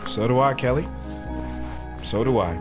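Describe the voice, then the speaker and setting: A man playing a gentle, encouraging father in an audio drama, calm and reassuring. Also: medium pitch, moderate pace, music in the background, natural voice. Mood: warm, reassuring.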